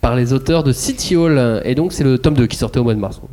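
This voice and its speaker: A man's voice: medium pitch, a little fast, mellow, slight background noise. A man hosting a discussion, presenting titles in a casual, conversational way. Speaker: host of a manga discussion.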